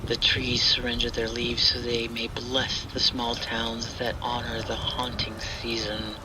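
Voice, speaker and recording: Male horror storyteller, medium pitch, unhurried and hushed, scripted atmospheric read over clear rain noise. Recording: steady rain and thunder noise. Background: rain and thunder ambience.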